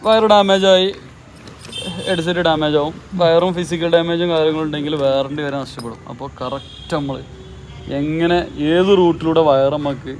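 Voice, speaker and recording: A man's voice: a little high, a little hiss or hum. A man, a bike mechanic, walking step by step through fitting a helmet headset outdoors. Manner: methodical, walks through each step. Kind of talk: step-by-step installation guide.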